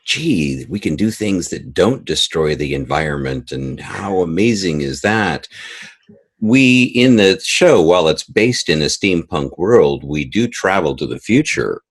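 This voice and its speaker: A man giving a casual interview as an audio drama creator, telling things in an animated way and acting out quoted remarks. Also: rumbling low, husky.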